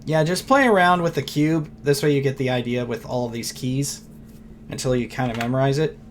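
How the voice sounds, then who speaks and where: Hoarse man rambling through a tutorial. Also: mid-range voice, brisk, a little hiss or hum. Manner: rambling, loose, thinks aloud with fillers. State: losing his voice, clearing throat. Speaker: software tutorial teacher. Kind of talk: casual screen-recorded tutorial.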